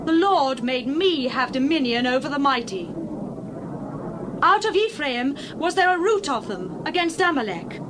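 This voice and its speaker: A woman's voice: sits high, expressive, a little slow. A woman playing an exultant biblical prophetess in a dramatized scripture reading, declaiming solemnly. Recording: clear background ambient noise.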